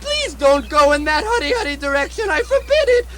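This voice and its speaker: Puppet-show fisherman, played by a woman: very high, swooping, haunted, stammering, chant-prone, noisy crowd around. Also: very animated, brisk. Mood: fearful, distraught. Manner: stammering, constantly breaking into a repeated chant. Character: terrified, ghost-haunted male fisherman, frantic and superstitious. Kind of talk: theatrical puppet-show acting.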